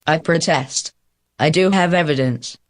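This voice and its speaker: Theatrical courtroom advocate played by a man, lightish higher pitch, confident and formal, radio drama. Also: unhurried, natural voice. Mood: emphatic. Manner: formal, emphatic oratory. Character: confident, theatrical defense lawyer. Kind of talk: radio play courtroom acting.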